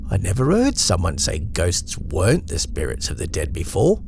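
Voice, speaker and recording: A British man reading a first-person horror story in a measured, reflective way, as a storyteller. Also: medium pitch, gravelly, a little mellow, slight background noise.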